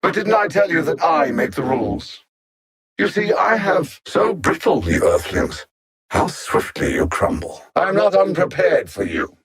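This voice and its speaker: An actor playing an arrogant, coldly condescending alien machine-minded conqueror in a video game, speaking in a formal, precise, theatrical manner with a husky voice. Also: male voice, fairly high pitch, big pitch swings, measured pace, a bit bright, heavily acted. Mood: mocking menace. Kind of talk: video game villain dialogue.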